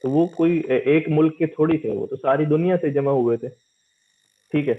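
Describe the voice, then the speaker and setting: A man's voice: a little high, normal speed, highs cut off. A middle-aged man giving an informal, interactive lecture, explaining step by step and repeating phrases for emphasis.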